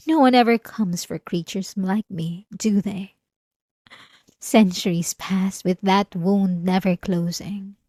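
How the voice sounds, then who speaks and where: Casting: actress as ancient female vampire for ASMR drama, possessive and menacingly tender, very unhurried intimate delivery, dry close sound. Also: medium pitch, a little mellow, dry recording, natural voice. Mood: wounded, sorrowful. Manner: slow, intimate, deliberate, dramatic pauses. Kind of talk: ASMR roleplay, dramatic monologue.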